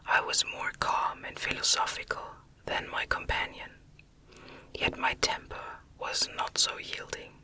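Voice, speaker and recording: A woman reads a classic novel as ASMR in a breathy, unvoiced whisper, gentle and measured in her phrasing. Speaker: audiobook narrator.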